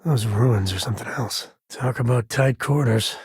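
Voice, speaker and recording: An actor voicing a wry, easygoing space ranger and father in a video game, with a husky, raspy voice and a folksy drawl. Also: mid-range voice, moderate pace, darkish tone, no room sound, studio quality. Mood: matter-of-fact. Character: laid-back frontier space ranger, doting father, wry and plainspoken. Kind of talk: video game character dialogue.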